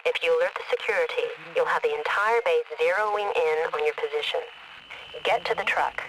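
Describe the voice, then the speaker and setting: A woman's voice: low-pitched, brisk, a bit bright, slight background noise, rough recording. A woman playing a businesslike military handler in a game, giving clipped, formal, authoritative orders. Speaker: mission controller. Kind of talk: scripted military briefing.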